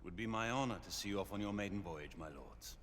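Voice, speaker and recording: An actor playing a guarded, dutiful knight commander, formal and restrained in a game cutscene. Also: male voice, lowish voice, fast talker, fairly bright tone, natural voice. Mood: courteous. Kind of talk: dramatic video game cutscene acting. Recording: echoing hall, faint background noise.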